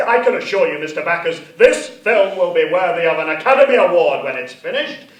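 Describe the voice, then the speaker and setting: An actor in a live radio theatre parody playing a pompous, grandiose film director who pleads and stammers when cornered. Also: male voice, sits high, lively intonation, fairly quick. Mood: pompous, persuasive. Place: arts centre stage, live performance. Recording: echoey live theatre recording, distant microphone.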